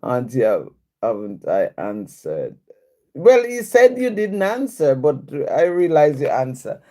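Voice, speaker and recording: Woman streamer, chatty, answers comments, unhurried delivery with lots of swing in pitch. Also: huge pitch swings, dark tone. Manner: chatty, answers comments aloud, laughs often. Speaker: livestream host reading viewer comments. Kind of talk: casual live-stream chat.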